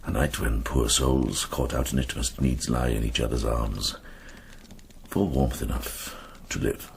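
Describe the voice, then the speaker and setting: A British man playing a kindly, steady older monk and healer in a radio drama, gentle and reassuring in manner. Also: rumbling low, measured pace, vocal fry, a little hiss or hum, exaggerated voice. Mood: earnest, consoling.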